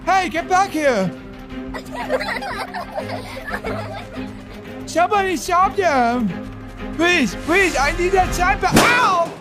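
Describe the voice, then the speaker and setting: A man's voice: extremely high pitch, lively intonation, measured pace, music in the background. A man voicing a dramatic, self-absorbed cartoon crewmate who tells rambling stories in a whiny, theatrical way. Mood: whiny, panicked. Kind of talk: cartoon voice acting.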